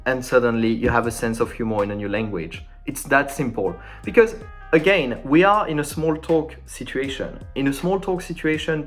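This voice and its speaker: A man at an ordinary middle pitch explains French conversation tips in English, his second language. He talks casually and with energy, and a little guitar music plays faintly underneath. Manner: animated and informal, acting out sample dialogues.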